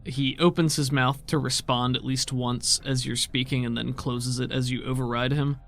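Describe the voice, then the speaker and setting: A man's voice: medium pitch, little pitch movement, moderate pace, a bit bright. A man narrating scenes as the game master of a tabletop roleplaying game.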